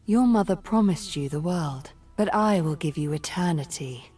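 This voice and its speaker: An actress playing a cold, scheming sorceress villain in a video game, slow and theatrical with menace, in a hushed, breathy voice. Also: female voice, on the low side, lively intonation. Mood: imperious, threatening. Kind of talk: video game character voice acting. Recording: game voice over background music.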